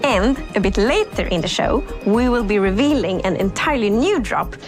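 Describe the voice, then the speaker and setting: A woman's voice: mid-range voice, expressive, normal speed, over music. A woman with a Norwegian accent, presenting a game broadcast as its director in a friendly, scripted way.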